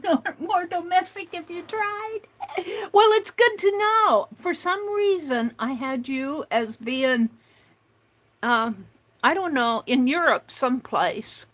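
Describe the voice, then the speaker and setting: An older woman hosting an art livestream, chatting slowly and reading out viewer comments, with many fillers and restarts. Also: high-pitched, fairly bright tone. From United States.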